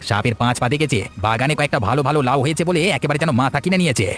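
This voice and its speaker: A man's voice: a little high, rapid-fire, fairly bright tone, soft background music, natural voice. A man voicing a brash, resentful village husband out for revenge in a cartoon folk tale. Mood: brash, scheming. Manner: indignant, boastful.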